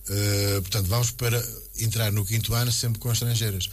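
A man's voice: on the low side, fairly even intonation, a little fast. A man with a European Portuguese accent answering as a club president in a radio interview, explaining with emphatic repetition.

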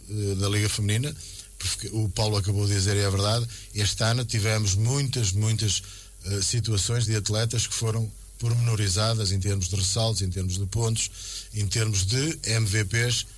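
A man's voice: lowish voice, steady tone, a little fast. A man with a European Portuguese accent answering as a club president in a radio interview, explaining with emphatic repetition.

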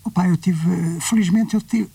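Older Portuguese man, soft husky voice. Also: sits high, moderate pace, no echo, recorded from a distance. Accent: European Portuguese. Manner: reflective, repeats phrases for emphasis. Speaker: retired book publisher, guest. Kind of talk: casual conversation.

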